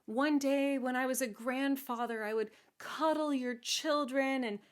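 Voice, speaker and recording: A woman's voice: sits high, fairly even intonation, measured pace, fairly bright tone, voice pushed up. A doting old king played by a woman storyteller, heavy with sorrow and tenderness. He speaks to his child in a sentimental, tearful way, as in a storybook tale. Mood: tender grief. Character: sad, loving old king, doting father.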